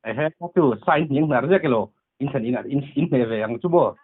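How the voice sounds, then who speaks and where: A man's voice: medium pitch, a little fast. A man talking casually on a phone call, his voice coming through a tinny, narrow line. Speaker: phone caller. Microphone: telephone.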